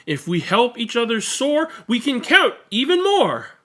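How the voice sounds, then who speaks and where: A reader voicing an earnest, self-doubting female number zero from a picture book, in an expressive, drawn-out delivery. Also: mid-range voice, voice pushed up, exaggerated voice. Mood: hopeful, excited.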